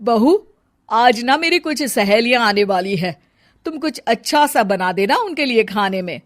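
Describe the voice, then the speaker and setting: A loud, bossy middle-aged mother-in-law from a cartoon story, put on by a woman narrator. She barks chores and scolds in a voice pitched a little high and recorded very dry. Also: animated, unhurried, dry recording.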